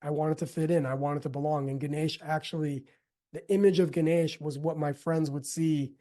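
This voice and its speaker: A middle-aged American man giving a reflective talk as a teacher, earnest and deliberate, repeating phrases for emphasis. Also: fairly high pitch, steady tone, mellow. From United States. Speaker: yoga teacher and speaker. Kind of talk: reflective spoken talk with personal storytelling.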